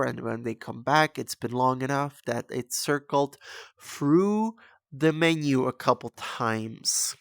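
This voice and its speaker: A young man from the Czech Republic, a native Czech speaker, talks in a casual, meandering video-essay style. His delivery is slow and halting, with plenty of vocal fry. The sound is dry and close, with little room on it. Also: mid-range voice, dry recording. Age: 20s. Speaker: video creator and host.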